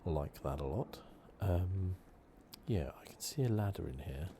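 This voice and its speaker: A soft-spoken man giving ASMR gaming commentary, drifting into whispers. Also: low voice, lively intonation, moderate pace, slight background noise. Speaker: gaming channel host. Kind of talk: ASMR video game playthrough commentary.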